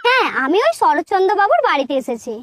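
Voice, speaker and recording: Woman playing young cartoon sister-in-law, very high processed voice, lively intonation, music behind. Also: fast talker. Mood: polite. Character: pretty young sister-in-law visiting the village. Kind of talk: comic cartoon dialogue.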